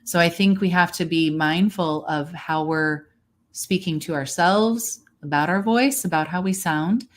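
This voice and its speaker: A woman's voice: lowish voice, measured pace. A woman speaking as a voice coach, giving encouraging, explanatory advice in a conversational way. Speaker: voice coach, guest expert. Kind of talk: conversational expert advice in a livestream.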